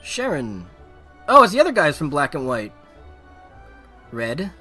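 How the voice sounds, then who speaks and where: A man with a fairly high voice streams a game over background music. He comments casually and irreverently, reading on-screen dialogue aloud and skipping through it with filler sounds. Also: huge pitch swings, a little slow, sharp tone, breathy edge. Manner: irreverent, skims text with blah-blahs and sing-song filler.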